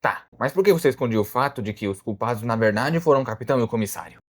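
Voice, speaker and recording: A man acts out an impatient alligator detective from Brazil's cartoon world, with a Brazilian accent. He fires questions extremely fast, his pitch leaping about in exasperation. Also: expressive, lightly acted. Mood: exasperated. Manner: questioning, exclamatory. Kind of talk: animated murder-mystery dialogue.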